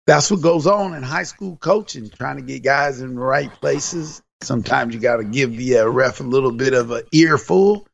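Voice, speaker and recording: Man, raspy, hoarse, gravelly, relaxed rambling talk, muffled lo-fi line. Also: medium pitch, moderate pace, few pauses. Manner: relaxed, rambling, many fillers. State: hoarse from yelling on the sideline. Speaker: co-host, high school football coach. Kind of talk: casual podcast chat.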